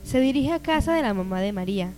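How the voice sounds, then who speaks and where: A woman's voice: a little high, lively intonation, quick pace. A woman reading scripted narration for a radio drama. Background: soft music.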